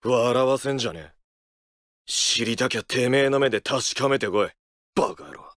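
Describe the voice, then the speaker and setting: An actor playing a rough, blunt, passionate high school ballplayer in a baseball game. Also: male voice, animated, moderate pace, natural voice. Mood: gruff defiance. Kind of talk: anime-style game character lines.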